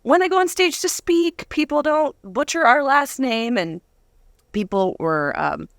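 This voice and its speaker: A woman's voice: sits high, expressive, unhurried, no room sound, clean studio sound. Chatty woman in casual conversation. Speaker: author and speaker.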